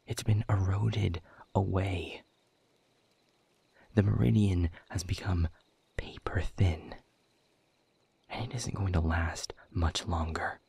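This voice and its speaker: A world-weary demon, acted by a man, speaks very low and deliberately in an audio drama. The voice is heavily breathy and rough, taking its time over each sardonic, doom-laden phrase. The sound is close and dry. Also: mellow, dry recording, full character voice. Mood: grave, urgent. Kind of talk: scripted audio roleplay monologue.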